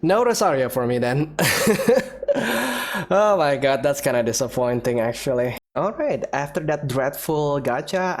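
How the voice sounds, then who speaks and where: A man giving casual commentary as the host of a gameplay video. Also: medium pitch.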